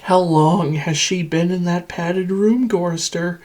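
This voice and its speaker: A man's voice: high voice, steady tone, a little slow, darkish tone. A man voicing an insane, sadistic supercomputer that hates its human captives, in a theatrical and menacing villain monologue. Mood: mocking cruelty. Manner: theatrical, menacing, swinging from whispers to screams.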